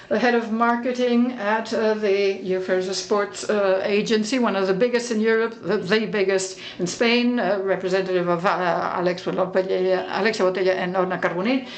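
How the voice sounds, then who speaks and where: A woman's voice: medium pitch, rough recording. A woman wrapping up a conference session and introducing the next speakers, speaking informally with frequent restarts.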